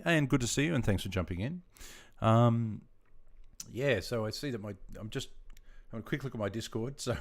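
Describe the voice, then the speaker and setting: A middle-aged man from Sydney with an Australian accent, deep-voiced and chatty, talking casually with viewers on his gaming livestream. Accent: Australian, with some Queensland features. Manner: chatty, friendly, greets viewers by name.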